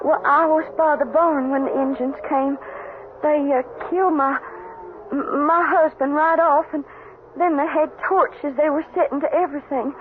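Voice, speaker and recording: Brief: actress as high-voiced young homesteader widow, timid, stammering, folksy; noisy old radio sound. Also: female voice, fairly even intonation, moderate pace, phone-quality sound, lightly acted. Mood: grieving, grateful. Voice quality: faltering, breathless. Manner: stammering, folksy rural speech. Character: distraught young widowed homesteader, timid, grateful, frontier woman. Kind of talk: old-time radio drama acting.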